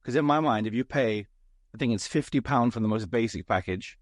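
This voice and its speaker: Hoarse, raspy man hosting. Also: mid-range voice, brisk, no room sound, studio quality. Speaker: podcast host. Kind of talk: interview, conversational.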